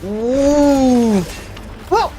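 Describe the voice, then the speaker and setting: A woman's voice: high-pitched, animated, drawn-out words. A woman hosting a gaming video with casual, playful commentary and exclamations. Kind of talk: casual playthrough commentary with character lines read along. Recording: game music behind the voice. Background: game music.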